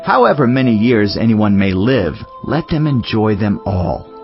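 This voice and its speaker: Solemn biblical sage, muffled. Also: male voice, fairly low pitch, big pitch swings, unhurried. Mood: solemn, reflective. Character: solemn male Teacher, wise biblical sage reflecting on life. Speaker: scripture reader. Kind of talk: dramatized scripture reading. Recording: dull lo-fi audio over music.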